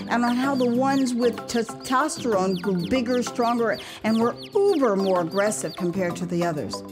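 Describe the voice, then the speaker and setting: An American woman narrating her own memoir as an audio drama, expressive and wry, with conversational asides. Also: on the high side. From United States. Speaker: author and storyteller.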